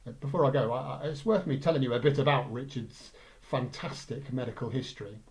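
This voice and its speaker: A man's voice: medium pitch, a little fast, a little mellow. A man with an English accent reading a light-hearted first-person story as narrator. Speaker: story reader.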